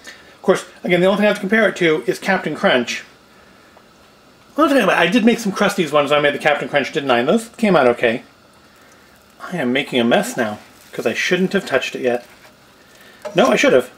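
A man's voice: fairly high pitch, animated, brisk, long pauses, a bit bright. A middle-aged man giving casual cooking and food review commentary with dry, self-deprecating humour. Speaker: video host. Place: indoors at home, kitchen and tabletop set.

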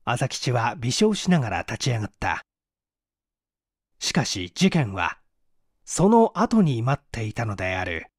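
A man's voice: mid-range voice, very animated, measured pace, pauses often, breathy edge, dry recording, studio quality. A man reading a story aloud as scripted, dramatic audiobook narration. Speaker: audiobook reader, stage performer.

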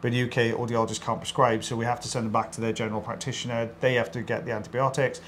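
A man's voice: mid-range voice, flat delivery, rapid-fire, fairly bright tone, slight background noise. A middle-aged man with a South Welsh accent, giving casual, joking commentary straight to viewers as an audiologist. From South Wales. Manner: joking, addresses viewers directly. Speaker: audiologist co-presenting a procedure video.

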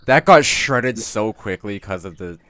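Gaming narrator, young adult man, lowish voice, excitable, laughs a lot. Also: a little slow, clear, resonant tone, dry recording. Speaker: gaming YouTuber. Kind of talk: narrated gameplay with voice chat.

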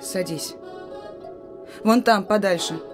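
An actress playing a stern, suspicious young partisan sentry in a radio play, giving brusque, folksy orders. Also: female voice, mid-range voice, slow, natural voice. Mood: stern, bossy. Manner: brusque, rustic colloquial commands. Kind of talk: Russian radio play acting. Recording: radio drama with music.

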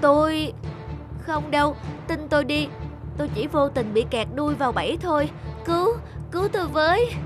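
Woman voicing a high-pitched timid little mouse. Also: moderate pace, natural voice. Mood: scared, pleading. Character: small, timid, earnest, well-meaning mouse. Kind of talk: animated moral fable dubbing. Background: music.

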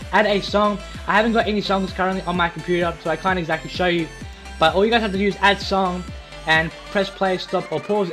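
A young man giving a casual, informal software tutorial, repeating himself as he explains. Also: sits high. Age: young adult. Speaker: tutorial video host. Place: small home room. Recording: faint music behind voice.

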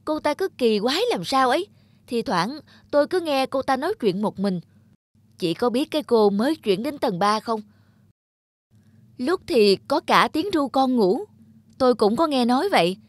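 A woman's voice: sits high, expressive, moderate pace, no room sound. Gossiping neighbour woman, suspicious and conspiratorial, dry cartoon dub. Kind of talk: animated cartoon dubbing.

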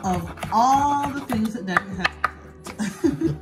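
A woman's voice: a little high, expressive, drawn-out words. Chatty upbeat woman host. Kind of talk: casual cooking show chat. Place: home kitchen, indoors. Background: background music.

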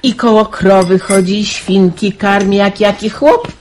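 A woman's voice: medium pitch, unhurried, a little mellow, lightly acted, rough recording. A woman playing a kind, practical village mother in a radio play, speaking plainly. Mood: friendly.

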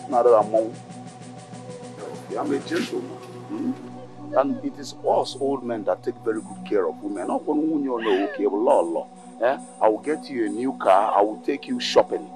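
A man's voice: lowish voice, animated, moderate pace, close to an everyday voice, low-quality recording. An actor playing a boastful older titled man, bossing people around and coaxing his new wife. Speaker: groom. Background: music.

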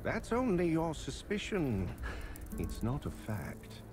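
Gang patriarch, slow, very expressive, authoritative, music behind. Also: male voice, a little high, heavily acted. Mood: calm. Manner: calm, reasoning, authoritative. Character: calm pragmatic older male gang patriarch.

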